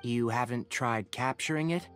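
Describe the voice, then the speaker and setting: A man voicing a calm, analytical forest ranger in a video game, logical and brusque, with measured, formal phrasing. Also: mid-range voice, faint music behind, natural voice. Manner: measured, matter-of-fact, formal wording.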